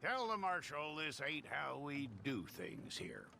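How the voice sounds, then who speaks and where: A gravelly, weary sheriff. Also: male voice, fairly high pitch, wildly swooping pitch, unhurried, bright, forceful tone, slight background noise, full character voice. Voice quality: gravelly drawl. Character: weary, grudging middle-aged male sheriff.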